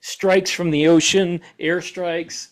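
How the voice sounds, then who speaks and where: A man's voice: high-pitched, flat delivery, takes it slowly, poor audio. A man co-hosting a podcast in a casual, conversational style. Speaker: podcast co-host. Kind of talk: casual chat.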